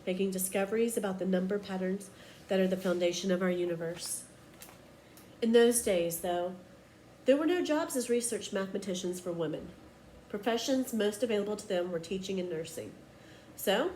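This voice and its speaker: A woman reading a children's picture book aloud like a teacher, with expressive, emphatic storytelling. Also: mid-range voice, brisk, slight background noise, poor audio.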